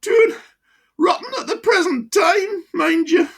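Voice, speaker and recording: A woman's voice: extremely high pitch, takes it slowly, pitched above the performer's own voice, full character voice. A woman voicing a breathless old male ex-miner from Sunderland with a broad local accent and blunt gallows humour. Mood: gruff teasing. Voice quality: breathless, broad dialect, cackling. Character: old wheezing Sunderland ex-miner man, grimly jokey.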